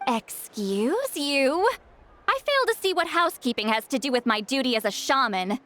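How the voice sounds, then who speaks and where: A woman's voice: sits high, very animated, normal speed, a bit bright, a little hiss or hum. A woman playing a proud, grumpy, easily flustered great shaman in an anime game, with a haughty, huffy manner. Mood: indignant. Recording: background music under the voice.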